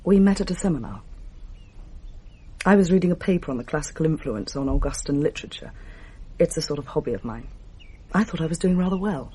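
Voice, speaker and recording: A genteel young Englishwoman, earnest and torn, with a mellow, soft tone and a hesitant delivery. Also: sits low, expressive, a little fast, slightly rough. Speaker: scholar. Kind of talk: 1980s radio drama acting.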